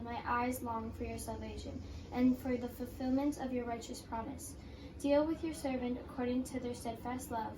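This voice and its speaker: A woman reading scripture aloud in an echoey room with background noise. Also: on the high side. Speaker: Bible reader.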